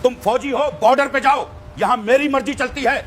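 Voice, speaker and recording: A man playing a corrupt, arrogant, domineering police inspector in a scripted animated moral story. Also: extremely high pitch, a little fast, a little hiss or hum. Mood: sneering. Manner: menacing, domineering. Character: bullying corrupt male police inspector, arrogant, cowardly when cornered.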